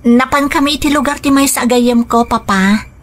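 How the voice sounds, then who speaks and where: A woman's voice: a little high, moderate pace, slight background noise, lightly acted. An actress playing a young woman in love who pleads earnestly, in a radio drama. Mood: pleading.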